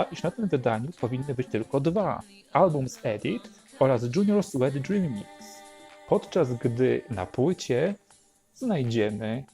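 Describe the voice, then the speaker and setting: A man narrating an unhurried show-and-tell as host, in a measured, explanatory way. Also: medium pitch, dark tone. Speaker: host presenting a record collection.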